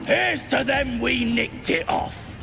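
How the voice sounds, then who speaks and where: A man playing a boastful, belligerent goblin brute in a video game, with a gravelly voice and an English working-class accent. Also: very high-pitched, takes it slowly, over music, heavily acted. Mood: boisterous. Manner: belligerent, taunting. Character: boastful, bullying goblin brute who loves drink. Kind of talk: video game character acting.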